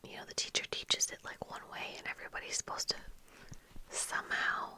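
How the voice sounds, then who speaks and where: A young adult woman whispering softly and breathily into a close microphone in casual, rambling, unhurried ASMR chat. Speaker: ASMR video creator. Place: small room at home with bookshelves. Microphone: close desk microphone with fluffy windscreen.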